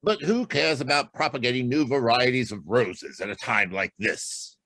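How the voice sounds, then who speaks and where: A man's voice: medium pitch, normal speed, a bit bright. An actor playing an incredulous male colleague in an old-time radio drama, emphatic and questioning as he tries to persuade. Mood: incredulous, urging.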